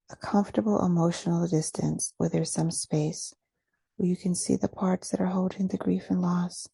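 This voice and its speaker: A woman leading a guided meditation in a soft, breathy voice, soothing and unhurried, pausing between short phrases. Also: fairly low pitch, no room sound. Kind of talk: guided meditation, gentle scripted instruction.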